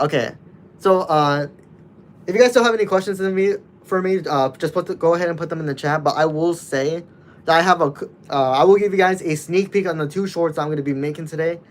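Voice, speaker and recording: A man's voice: fairly high pitch, a little hiss or hum. A nineteen-year-old man casually chatting with his livestream viewers, rambling loosely with many restarts.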